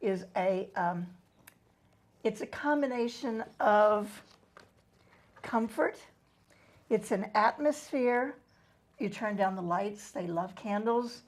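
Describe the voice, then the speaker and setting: A woman's voice: medium pitch, animated, slow pace, long pauses. A middle-aged woman co-hosting a casual group chat, explaining things at length with frequent ums. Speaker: co-host.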